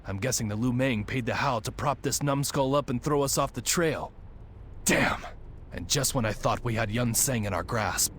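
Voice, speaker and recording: An actor playing a blunt, wry officer in a game cutscene. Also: male voice, mid-range voice, moderate pace, a bit bright, a little hiss or hum, close to an everyday voice. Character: gruff wry male officer.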